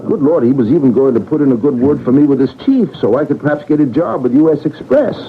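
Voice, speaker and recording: An actor playing an earnest, plain-spoken railway brakeman, excitable at times, in old-time radio drama style. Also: medium pitch, quick pace, slight background noise, lightly acted. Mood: anxious, earnest. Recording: tinny old radio recording.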